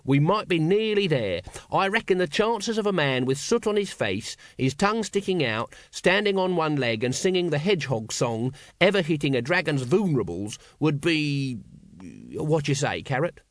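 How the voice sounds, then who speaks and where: A man's voice: a little high, expressive, normal speed, no echo, studio quality, natural voice. A man voicing a small, sly, crude watchman who talks in a colloquial, argumentative way, in an audiobook story. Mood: appraising.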